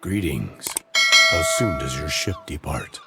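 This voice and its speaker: An actor playing an earnest, resolute ancient non-human male being in a fantasy game, speaking formally in gravelly measured phrases. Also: rumbling low, very animated, loud music behind the voice, heavily acted. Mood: polite, formal. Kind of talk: fantasy game cutscene acting.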